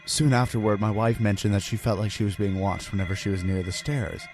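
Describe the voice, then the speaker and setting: A man performs a haunted family man confessing his ordeal in a creepy horror story. His low voice is earnest, theatrical and full of foreboding, with music clearly playing underneath. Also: fairly even intonation, fairly quick, natural voice. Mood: uneasy recollection. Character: haunted husband and father confessing a terrifying ordeal. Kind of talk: dramatic first-person horror narration. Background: background music.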